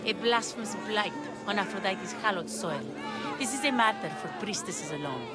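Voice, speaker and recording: A woman's voice: mid-range voice, lively intonation, moderate pace, natural voice. A woman playing a stern, scornful priestess who speaks with haughty formality in a video game. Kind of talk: dramatic video game acting. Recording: loud music and crowd behind voice.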